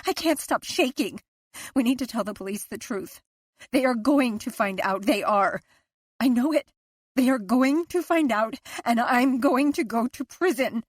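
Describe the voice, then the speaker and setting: A woman's voice: a little high, moderate pace, few pauses, slightly rough, dry recording, clean studio sound, voice pushed up. A woman voicing a panicked, guilt-ridden wife. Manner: tearful, pleading, rising to near shouts. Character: frightened guilt-ridden wife near breaking. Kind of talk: audiobook character voice.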